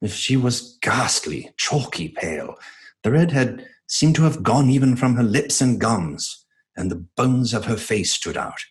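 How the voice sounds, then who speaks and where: Horror audio drama: a sombre, earnest doctor telling his account, fairly deep voice with animated intonation. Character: earnest, troubled doctor recounting events. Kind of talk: dramatic radio-play narration.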